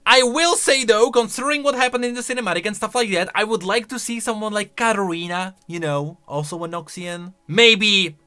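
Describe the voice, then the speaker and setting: A man's voice: high-pitched, fairly quick, hardly any pauses, pushed and bright, smooth. A man giving casual, wry, opinionated reaction commentary as a video game lore commentator.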